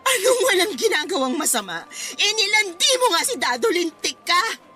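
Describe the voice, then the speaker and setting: Furious betrayed Filipina girlfriend, very high-pitched. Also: very animated, moderate pace, sharp tone, exaggerated voice. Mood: bitter, enraged. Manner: scolding, emotional, confrontational. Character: betrayed, furious live-in girlfriend, fierce and accusing. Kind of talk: Filipino radio drama acting. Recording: music bed behind the voice.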